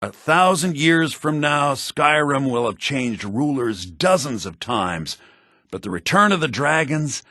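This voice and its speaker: Raspy, smug townsman in a fantasy game. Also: medium pitch, sing-song, a little slow, sharp tone, dry recording, studio quality, heavily acted. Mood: grave, lofty. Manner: sardonic, self-important, theatrical delivery. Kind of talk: scripted fantasy video game dialogue.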